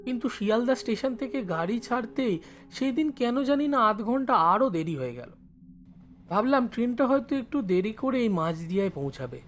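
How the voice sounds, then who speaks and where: A man playing a village schoolboy who studies in the city, telling his story in expressive first-person narration. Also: very high-pitched, moderate pace. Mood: suspenseful recounting. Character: village schoolboy studying in Kolkata, recalling his life.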